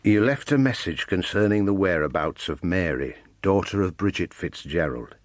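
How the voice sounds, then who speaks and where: An actor playing a measured, formal priest voicing his letter in an audio drama. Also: sits low, animated, dry recording. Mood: measured, informative.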